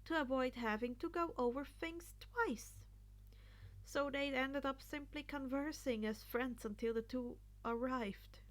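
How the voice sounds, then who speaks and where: A woman narrating fan fiction in a story-reading style. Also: a little high. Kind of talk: story reading with character voices.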